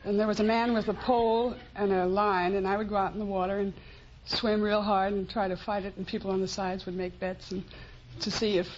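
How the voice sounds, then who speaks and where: A woman's voice: medium pitch, flat delivery, a little fast, few pauses. An American woman from Pennsylvania, casually answering a host's questions as a quiz show contestant. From Pennsylvania, United States. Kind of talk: casual unscripted interview answers. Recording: dull, faintly noisy vintage radio recording.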